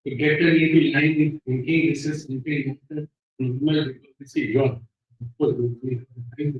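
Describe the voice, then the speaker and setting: Middle-aged man, Indian accent, informal speech, halting and meandering, distant from the mic, slightly muffled sound. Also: on the high side, fairly even intonation. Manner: halting, rambling delivery with broken-off sentences. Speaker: guest speaker at a book release function. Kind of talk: informal, reminiscing public speech. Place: indoor function hall with audience. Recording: very echoey hall, distant muffled microphone.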